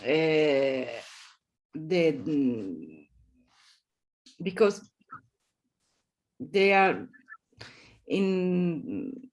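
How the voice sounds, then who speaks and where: A woman with an Italian accent, a native Italian speaker, answering as an academic guest and haltingly searching for her English words. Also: low voice, very animated, low-quality recording. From Italy. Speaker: architecture professor, guest lecturer. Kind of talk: academic discussion at a webinar.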